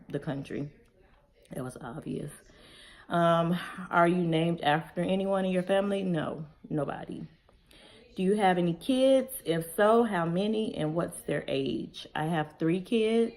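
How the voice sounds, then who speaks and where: Low-voiced woman chatting casually. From Chicago, Illinois. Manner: relaxed, conversational, reads each question aloud then answers. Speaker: vlogger. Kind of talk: casual Q&A tag video.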